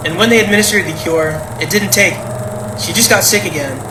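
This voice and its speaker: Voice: medium pitch, a little fast, lightly acted. An actor playing an uneasy, doubtful grown child in a naturalistic audio drama, talking in a hesitant, conversational way. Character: uneasy, doubtful grown child who suspects dad of murder. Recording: roomy, echoey with clear background noise.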